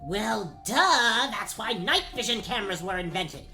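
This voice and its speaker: Woman playing a bossy, animated evil stepmother. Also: medium pitch, unhurried, music in the background. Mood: impatient, scornful. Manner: curt, scornful, commanding. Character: callous, showbiz-obsessed evil stepmother, bossy and impatient. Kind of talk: comedic gag-dub radio play acting.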